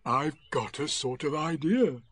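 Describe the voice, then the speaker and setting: A man's voice: fairly high pitch, lively intonation, measured pace, fairly bright tone. A man voicing a kindly, simple-minded male teddy bear who talks hesitantly. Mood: gentle. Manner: hesitant and muddled.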